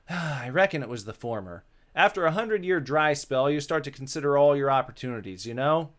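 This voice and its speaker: A man's voice: a little high, animated, a little fast, a bit bright. A man voicing a cocky, lecherous male ghost in a grumbling, theatrical reading of a visual novel. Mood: sheepish.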